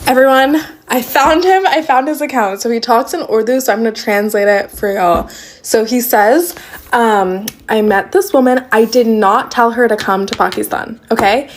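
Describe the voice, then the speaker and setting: A woman's voice: a little high, normal speed, slight background noise. Young woman explaining casually to her phone. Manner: casual, explanatory, self-correcting. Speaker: content creator translating. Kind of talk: casual selfie video. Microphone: phone at arm's length.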